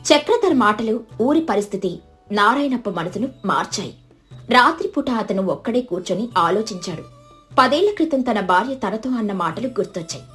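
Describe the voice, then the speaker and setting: A woman's voice: a little high, lively intonation, brisk, a bit bright, faint music behind. A woman reading scripted narration for a moral story in a storytelling style.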